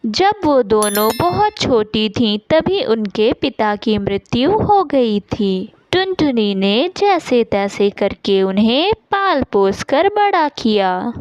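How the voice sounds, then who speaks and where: Woman storyteller, high lively voice, slow pace, reading kids animal tales, noisy background. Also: highs cut off. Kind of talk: scripted narration of children's animal stories.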